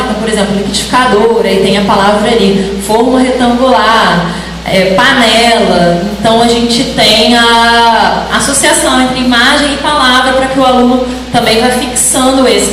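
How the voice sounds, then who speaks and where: Woman presenter, slightly high voice, explanatory classroom delivery, distant mic in a reverberant hall. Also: fairly bright tone, a little hiss or hum. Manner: explanatory, with frequent "né?" tags. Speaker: teacher presenting a research project. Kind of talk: academic presentation to an audience. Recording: echoey hall, mic far off.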